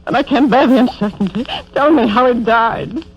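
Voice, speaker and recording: A woman's voice: fairly high pitch, moderate pace, lightly acted. Woman acting a grieving mother, dull vintage sound. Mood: pleading. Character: grieving, insistent mother of a dead soldier. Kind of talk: 1930s radio drama acting. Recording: dull, lo-fi 1930s radio broadcast.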